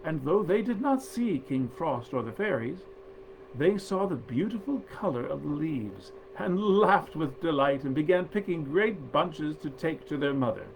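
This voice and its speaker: A man reading nineteenth-century fairy tales aloud in a measured, expressive storyteller's manner. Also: fairly high pitch, a little hiss or hum, rough recording. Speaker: actor reading stories aloud.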